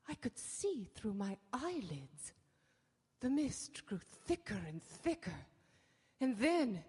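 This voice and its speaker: A woman's voice: mid-range voice, husky, heavily acted. A woman playing a troubled, haunted young woman in a radio horror drama, with a dreamy, suspenseful delivery. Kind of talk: dramatic radio play narration and acting. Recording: echoey hall with long reverb.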